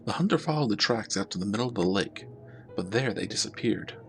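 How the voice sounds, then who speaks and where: A man narrating a scripted horror story as a storyteller, in a measured, dramatic way. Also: on the low side, lively intonation, a bit bright, soft background music. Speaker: storyteller, channel host.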